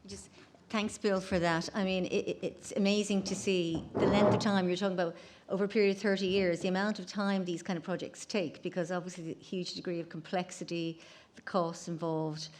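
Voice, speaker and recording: A woman's voice: on the low side, little pitch movement, brisk, darkish tone, slight background noise. A woman moderating a conference session, thanking one speaker and introducing the next in a loose, chatty way. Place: conference room.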